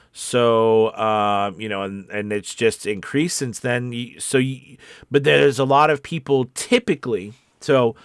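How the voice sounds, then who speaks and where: A man with a fairly low voice hosts a casual question and answer talk as a coach. He speaks candidly and encouragingly, often repeating a point for emphasis. The sound is close and dry. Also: no room sound. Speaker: voice actor and coach hosting a live Q&A. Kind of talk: casual, off-the-cuff advice talk.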